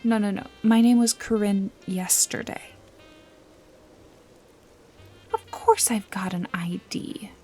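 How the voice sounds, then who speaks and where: Noir ASMR detective, female performer: sly, bossy woman, soft breathy delivery at a slow pace, dry teasing wit. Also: mid-range voice, soft background music. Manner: wry, teasing, coaxing, breathy asides. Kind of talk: noir mystery ASMR roleplay, scripted, addressed to listener.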